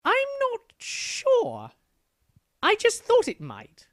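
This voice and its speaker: A man voicing an excitable, naive and easily baffled cartoon character who stammers and exclaims in comedy dialogue. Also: extremely high pitch, slow pace, full character voice. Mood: naive, curious.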